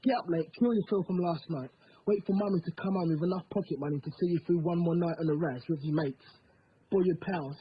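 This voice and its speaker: A man's voice: fairly high pitch, brisk, slightly rough, highs cut off. A man playing a streetwise, haunted homeless youth with a British accent in a drama, needling and probing as he tells stories. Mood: needling, intense. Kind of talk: dramatic dialogue with storytelling monologues.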